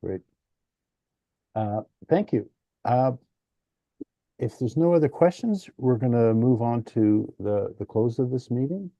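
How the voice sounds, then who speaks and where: A man's voice: medium pitch, animated, measured pace, pauses often, dark tone, no room sound, dull audio. A man chairing a municipal council meeting, mixing casual asides with formally read motions.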